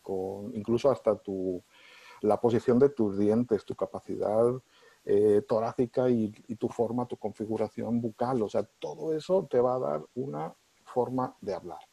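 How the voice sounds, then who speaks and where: A man from Spain with a husky, darkish voice explains ideas at an easy conversational pace. He often pauses and restarts his sentences. The sound is close and dry, with no room. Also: mid-range voice, mellow, no echo, rough recording. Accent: neutralized Spanish, mixing Spain and Mexico. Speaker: interviewed professor. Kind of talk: relaxed conversational interview.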